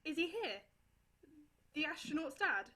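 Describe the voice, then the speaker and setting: Brief: a woman reading a shy middle-aged male family friend in a bright, high voice, hesitant and trailing off. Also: very animated, unhurried, exaggerated voice. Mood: awkward. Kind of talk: amateur online play reading.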